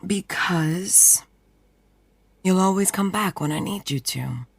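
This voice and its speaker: A young woman acting in an audio drama as a protective twin sister, speaking earnestly and softly. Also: fairly low pitch, slow, gravelly, slightly rough, no room sound, heavily acted. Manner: earnest, soft-spoken, emotional. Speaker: twin sister of a vampire fighter.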